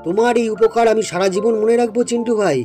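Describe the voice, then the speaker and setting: Man as a high-voiced kindly cartoon villager. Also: steady tone, racing, mellow, soft background music, close to an everyday voice. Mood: grateful. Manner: earnest, friendly. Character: kindly, grateful village man, goat's loyal friend. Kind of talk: children's animated folk tale acting.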